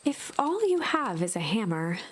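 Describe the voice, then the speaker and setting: A woman reading scripted storybook narration for a video game in a measured, expressive way. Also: mid-range voice, slight background noise. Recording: loud music behind the voice. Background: music.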